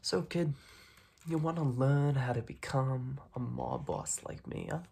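Young male performer as a smug mafia boss for ASMR roleplay: unhurried, hushed, fry-heavy, slangy and threatening, faint noise on a home recording. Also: medium pitch. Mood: patronizing. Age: young adult. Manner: soft-spoken, half-whispered, slangy gangster talk. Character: smug, menacing mob boss recruiting a newcomer. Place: small dark room indoors.

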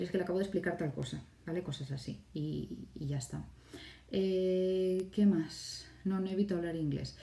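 A woman in her thirties with a Catalan-Spanish accent chats through viewer questions in an easy, talkative way. Her voice sits low and mellow. She is recorded at home on a phone or webcam, a little back from the mic, and the room echoes around her. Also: far from the mic. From Catalonia, Spain. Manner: chatty, reads viewer questions aloud and answers them. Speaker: teacher and blogger hosting a live stream. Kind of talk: casual live-stream Q&A. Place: home room with bookshelves, indoors. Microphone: phone or webcam in front of her.

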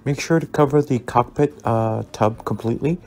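A man narrating a scale model build as a relaxed tutorial, easygoing and full of ums and uhs as he recommends each step. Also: mid-range voice, moderate pace. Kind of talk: relaxed instructional voice-over. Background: soft background music.

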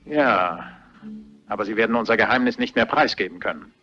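An actor playing a suave, calculating murderous doctor in a radio drama, controlled and sardonic. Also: male voice, lowish voice, animated, fairly quick, pushed and bright. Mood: cold, menacing. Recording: dull lo-fi audio, music behind.

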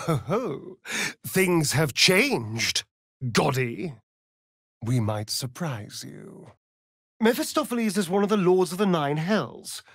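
An actor with a British accent playing a sardonic, preening vampire rogue in a fantasy video game, with an arch, drawling, theatrical delivery. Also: male voice, a little high, a bit bright, dry recording, clean studio sound, full character voice.

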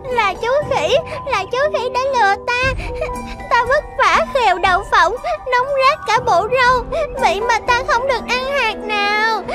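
A very high, childlike kitten voice for a children's cartoon. It is cutesy, naive and a bit vain, always eager to please. Music plays behind it. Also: female voice, unhurried. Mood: pleading. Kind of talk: animated children's cartoon dubbing.

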